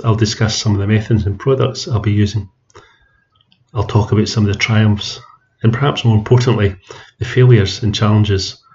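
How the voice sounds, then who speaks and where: Scottish male podcast host, low-pitched, chatty and friendly, muffled home recording. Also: a little mellow. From Scotland. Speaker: podcast host, amateur gardener. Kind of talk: solo podcast talk.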